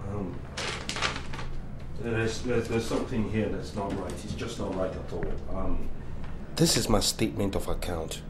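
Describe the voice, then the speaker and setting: An actor playing a frustrated young husband and son who pleads and breaks into angry outbursts. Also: male voice, on the low side, a little slow, pauses often, noisy background, natural voice. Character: frustrated young family man. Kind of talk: film drama acting.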